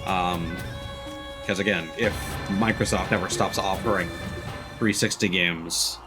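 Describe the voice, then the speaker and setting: Deep-voiced man rambling on a livestream. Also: steady tone, music in the background. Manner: rambling, halting, casually sweary. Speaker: game streamer. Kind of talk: casual livestream commentary.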